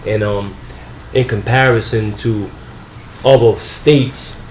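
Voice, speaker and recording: A man interviewing a guest in the guest's home, speaking earnestly with many pauses and fillers. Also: fairly low pitch, fairly even intonation, roomy sound, slight background noise, low-quality recording. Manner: measured, filler-heavy, earnest. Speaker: interviewer, magazine publisher. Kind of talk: interview hosting.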